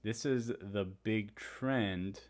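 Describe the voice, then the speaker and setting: Live-stream host: young man, Spanish first language, lowish voice, chatty, answers chat, walks through charts. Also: extremely slow. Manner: chatty, reads and answers viewer comments; talks through trading charts.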